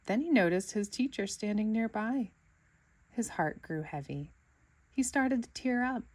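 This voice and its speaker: A woman reading a children's storybook aloud in an expressive narrating style. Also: a little high, measured pace. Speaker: storybook reader.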